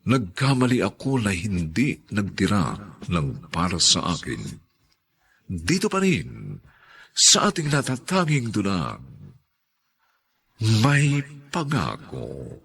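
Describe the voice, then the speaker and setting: A man narrating a radio serial as its announcer, in a formal, theatrical and measured manner. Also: fairly low pitch, wildly swooping pitch, creaky, a bit bright.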